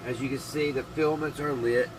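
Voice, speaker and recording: A man giving a casual hands-on demonstration to viewers, thinking aloud in a folksy, halting way. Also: mid-range voice, fairly even intonation, background noise. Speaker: hobbyist vlogger demonstrating vintage radio.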